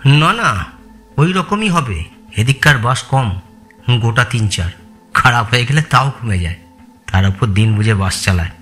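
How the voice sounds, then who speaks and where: An actor playing an older man, a loyal employee, brisk and plain-spoken, in a Bengali audio story. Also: medium pitch, expressive, sharp tone, soft background music. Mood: matter-of-fact. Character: Jashoda, older man, rural businessman's loyal longtime employee.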